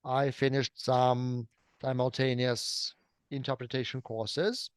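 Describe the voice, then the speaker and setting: Clear-voiced Russian man, careful speaker. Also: mid-range voice, flat delivery, slow, no echo. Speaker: interview guest, simultaneous interpreter. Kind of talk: conversational interview answers about own career.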